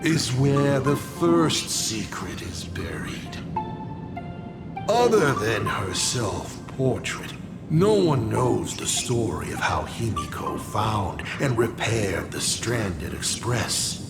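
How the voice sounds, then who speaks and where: An actor playing a sly, theatrical masked trickster who poses as a father, wry and gravelly, in a video game. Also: lowish voice, big pitch swings, slow, over loud music, heavily acted. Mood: solemn, inviting. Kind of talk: dramatic video game dialogue.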